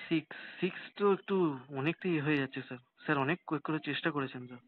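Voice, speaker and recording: A man's voice: fairly high pitch, fast talker. A man with a West Bengal accent, speaking as a sales engineer in a hesitant, deferential business negotiation over the phone. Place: recorded phone call. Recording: tinny, narrowband phone call.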